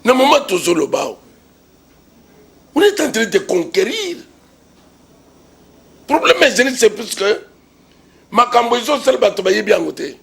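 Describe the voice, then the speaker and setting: Congolese male political pundit, gravelly and husky, emphatic, rhetorical, repetitive. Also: sits high, sing-song, moderate pace, pauses often, a bit bright. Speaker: political commentator being interviewed. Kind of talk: impassioned political argument in an interview.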